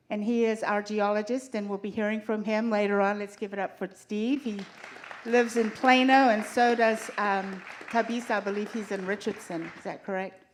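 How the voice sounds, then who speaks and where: A woman from Zimbabwe presents a church seminar with a middle-pitched voice. She introduces her colleagues and walks the audience through the program in a clear, orderly way. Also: slight background noise. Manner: warm, organized, introduces speakers and lays out the agenda. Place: church hall.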